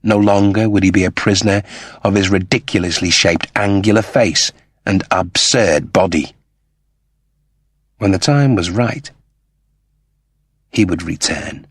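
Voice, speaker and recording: A man reading scripted science fiction narration as an audiobook narrator, in a measured, dramatic manner. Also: sits low, husky, dry recording, clean studio sound.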